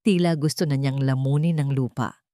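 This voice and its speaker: A woman narrating a scripted dramatic story in an even, dramatic storytelling manner. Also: a bass voice, normal speed, no echo, studio quality.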